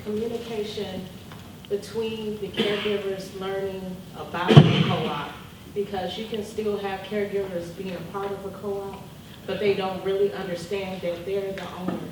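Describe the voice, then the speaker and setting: A woman's voice: medium pitch, little pitch movement, normal speed. A woman in a conference audience, making a comment from the floor in a level delivery. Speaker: audience member. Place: conference room. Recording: echoey room, far from mic, noisy.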